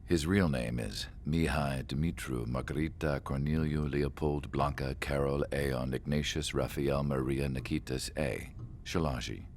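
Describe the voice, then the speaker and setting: Very deep, gravelly solemn scientist. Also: male voice, steady tone, a little mellow, a little hiss or hum. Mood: solemn, reflective. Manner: measured, reflective. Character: solemn older male research scientist recalling an ace pilot. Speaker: story narrator. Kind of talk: scripted dramatic cutscene narration.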